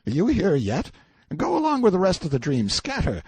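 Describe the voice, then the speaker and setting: A man's voice: medium pitch, sing-song, a little slow, darkish tone, slightly rough, no room sound, pitched above the performer's own voice, heavily acted. A man playing a brash, self-assured man stranded in a medieval court, blunt, emphatic and colloquial, in an audiobook story. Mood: exasperated, resigned.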